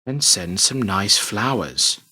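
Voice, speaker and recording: Man acting a forceful, cynical spy chief. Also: on the low side, animated, takes it slowly, slightly rough, heavily acted. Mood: cold, sardonic. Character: brusque, cynical British intelligence chief. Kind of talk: radio play acting.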